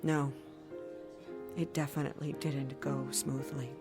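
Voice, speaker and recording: An actress playing an articulate, self-assured corporate lawyer, giving a measured, wry first-person monologue in an audio drama. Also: female voice, extremely low pitch, little pitch movement. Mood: wry, composed. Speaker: narrator, chief counsel. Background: music under the voice.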